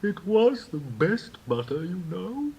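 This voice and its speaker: An actor voicing a gloomy, impatient mad hare with a gruff, blurting manner in a 1950s storybook recording. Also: medium pitch, big pitch swings, takes it slowly, a little mellow, a little hiss or hum, rough recording.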